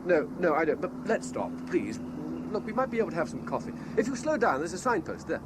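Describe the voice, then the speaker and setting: A man's voice: fairly high pitch, very animated, fast. A British actor playing an anxious, lovesick man terrified of heights in a radio drama, pleading and urgent. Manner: pleading, urgent, emotionally wrought. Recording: echoey, noisy vintage radio drama recording.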